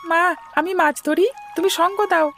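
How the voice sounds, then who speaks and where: Woman as cartoon child, extremely high voice, eager, childlike, faint music. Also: steady tone, moderate pace, a bit bright. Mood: cheerful. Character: eager, admiring child, older sibling. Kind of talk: Bengali cartoon voice acting.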